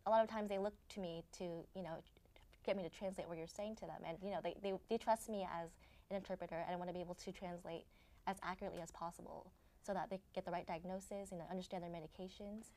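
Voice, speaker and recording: Young woman interpreter, talks fast, says like a lot. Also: fairly low pitch, fairly even intonation, mellow. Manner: quick, filler-heavy, says "like" a lot. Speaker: medical office interpreter. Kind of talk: talk show guest.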